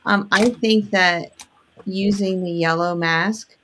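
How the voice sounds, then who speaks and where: A woman chatting casually, giving brief, quietly supportive suggestions. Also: medium pitch, fairly even intonation, takes it slowly, a little hiss or hum. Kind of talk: casual chat.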